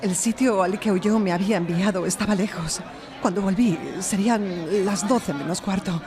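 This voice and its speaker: A woman's voice: medium pitch, normal speed, a crowd in the background, natural voice. A woman playing an upset young shop assistant giving an earnest account in a live audio drama. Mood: upset, recounting. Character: distressed young female shop assistant testifying, tearful but firm. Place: recorded live before an audience in a library. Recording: live stage recording, audience noise.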